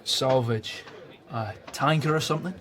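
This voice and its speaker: An actor playing a brash, sly newspaper journalist with a mocking manner in a radio drama. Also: male voice, medium pitch, moderate pace, fairly bright tone, natural voice. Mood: cocky.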